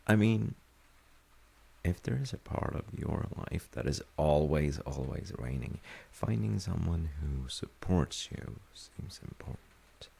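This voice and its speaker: A man acts out a thoughtful, comforting father in a bedtime audio roleplay. His voice is extremely creaky and husky, with a dark, mellow tone. He speaks softly and reflectively, hesitating mid-sentence as he gently reassures. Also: expressive, slow, heavily acted. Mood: gently musing. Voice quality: very deep, gravelly, husky. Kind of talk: soft-spoken sleep-aid audio roleplay.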